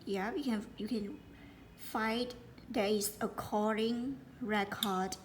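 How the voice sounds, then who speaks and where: A woman giving an unscripted product demonstration, speaking haltingly and often repeating words as she explains. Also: medium pitch, fairly even intonation, background noise. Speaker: product presenter.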